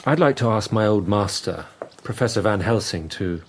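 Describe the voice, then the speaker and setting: An actor playing a restrained, earnest Victorian doctor in a radio drama, formal and a little hesitant. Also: male voice, on the low side, expressive, normal speed, slight background noise. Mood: awkward, restrained.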